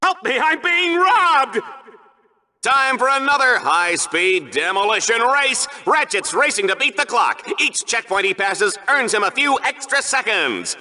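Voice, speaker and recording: A man's voice: extremely high pitch, animated, a little slow, pushed and bright, heavily acted. A man voicing a smug, cowardly show-off arena commentator in a video game, theatrical and sarcastic in an over-the-top game show style. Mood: panicked. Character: smug, hammy male sci-fi arena sportscaster, cowardly show-off. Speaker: sports commentator.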